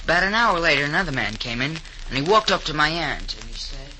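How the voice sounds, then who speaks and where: A man's voice: fairly high pitch, very animated, fast talker, bright, forceful tone, full character voice. An actor playing an eager, earnest teenage boy in a 1930s radio drama. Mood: earnest narrating. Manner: earnest, wide-eyed. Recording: noisy 1930s radio recording.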